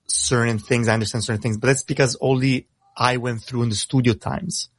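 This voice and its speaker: A man with an Italian accent, speaking English as a second language, who talks fast as a rapper guest on a radio show and often repeats words as he starts a thought. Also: medium pitch, steady tone, dry recording. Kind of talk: casual radio interview.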